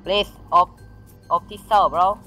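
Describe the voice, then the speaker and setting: A man from Vietnam with a Vietnamese accent, talking bluntly in halting, repetitive English as a teammate in a game's voice chat. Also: high voice, steady tone, over music. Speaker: squad teammate in online game. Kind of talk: casual voice chat. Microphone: gaming voice chat.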